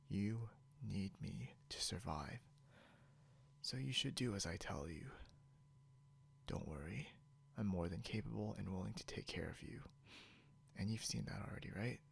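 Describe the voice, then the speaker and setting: A man playing a possessive, snarky, non-human captor in an ASMR roleplay, speaking softly and deliberately, often close to a whisper, with smug condescension. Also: deep voice, full character voice. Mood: calm, possessive. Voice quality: soft, breathy, often near whisper. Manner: smug, teasing, slow and deliberate.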